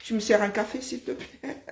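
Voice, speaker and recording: A woman from Cameroon with a Cameroonian French accent, chatting casually and telling lively stories, often breaking into laughter. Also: a little high, a little slow, creaky. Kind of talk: casual chat.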